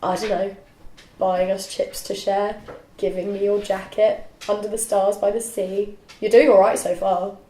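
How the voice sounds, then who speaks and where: A woman's voice: mid-range voice, level tone, unhurried, dark tone, lightly acted. A young woman reading the part of a determined female university student, casual and direct, at a film script table read. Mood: playful. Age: young adult. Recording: echoey room.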